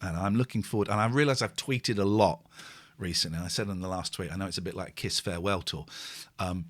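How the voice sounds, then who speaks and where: A man's voice: sits low, a little fast, fairly bright tone. A man, hosting a casual live stream, rambling and self-mocking as he reads out viewer comments. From England. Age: 40s. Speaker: host.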